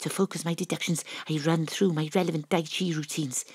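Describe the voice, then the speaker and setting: An actress playing a wry, dogged private detective with a Welsh accent and a husky voice, in audio drama narration and dialogue. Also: female voice, low-pitched, fairly even intonation, fairly quick, a little mellow, no echo. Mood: wry, reflective.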